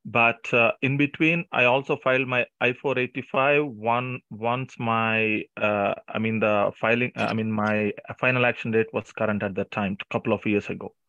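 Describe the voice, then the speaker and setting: A man calling in for advice, explaining his own case off the cuff in a halting, repetitive way. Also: on the low side, fairly even intonation, bright, forceful tone, dry recording. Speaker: caller seeking immigration advice. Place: phone conference call. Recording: dull lo-fi conference call.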